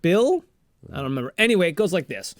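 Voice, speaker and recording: A man with a high voice improvises a casual, comic retelling of a film as a co-host. He stammers, backtracks and rambles excitably. The sound is dry and close, with very little room. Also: fast, no room sound. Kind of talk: improvised comedic recap, casual.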